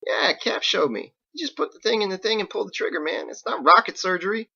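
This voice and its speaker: A man's voice: high-pitched, animated, a little fast, gravelly, pushed and bright, slightly rough, lo-fi sound, pitched above the performer's own voice, exaggerated voice. A man voicing a laid-back, eager sailor in an audiobook, talking in casual, careless slang. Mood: easygoing.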